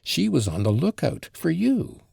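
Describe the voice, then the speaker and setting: A man reading aloud and voicing an excitable, fretful middle-aged mother who gushes in a high, swooping voice. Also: measured pace, creaky, breathy edge, no echo, studio quality, heavily acted. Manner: gushing, breathless, high and swooping. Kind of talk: audiobook reading of a classic novel.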